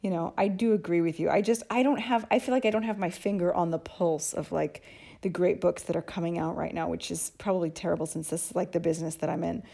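Warm, musing woman co-host. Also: on the low side, steady tone, quick pace, gravelly. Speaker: podcast co-host. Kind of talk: casual chat.

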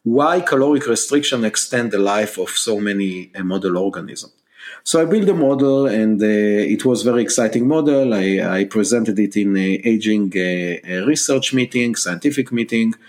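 A man with an Israeli accent, a scientist and company co-founder, telling his story as a podcast guest in a measured, hesitant way with many fillers. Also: on the low side, darkish tone. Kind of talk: podcast interview.

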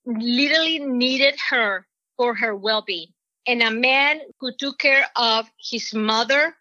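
A woman's voice: on the high side, bright, forceful tone, no echo. Earnest woman with a Mexican accent. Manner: rambling, earnest, halting restarts. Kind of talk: personal testimony. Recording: dull lo-fi recording.

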